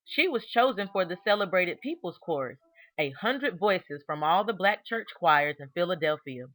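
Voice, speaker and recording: A woman reading a children's biography aloud in an expressive, deliberate storytelling manner, stressing key words. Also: lowish voice, no room sound, rough recording. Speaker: storybook reader.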